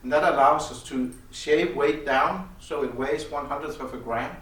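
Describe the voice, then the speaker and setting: A man who speaks as a gives a halting technical explanation of audio gear as its designer. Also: on the high side, a bit bright. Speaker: loudspeaker designer presenting gear at an audio show. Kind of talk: technical product explanation in conversation. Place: indoor demo room at an audio show. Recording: echoey room, distant mic, faint noise.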